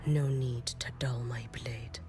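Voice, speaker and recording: A woman's voice: rumbling low, steady tone, takes it slowly, warm tone. A woman voicing a stoic, ageless warrior shrine maiden who speaks formally and with restraint in a video game. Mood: solemn, resolute. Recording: game audio with music underneath.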